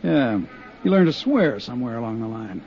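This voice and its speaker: An actor playing a steady, practical male investigator who talks in a measured, matter-of-fact way, in a muffled 1950s radio drama. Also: mid-range voice. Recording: muffled 1950s radio broadcast with faint hiss.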